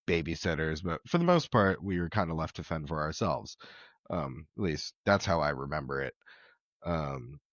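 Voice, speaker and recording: A man telling his life story as a podcast guest, reflective, with many pauses, ums and soft laughs. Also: low voice, no room sound, lo-fi sound. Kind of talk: candid personal conversation.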